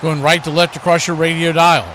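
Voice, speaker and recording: Guy doing live football play by play, high sharp voice, some background noise. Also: level tone, brisk. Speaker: play-by-play sports announcer.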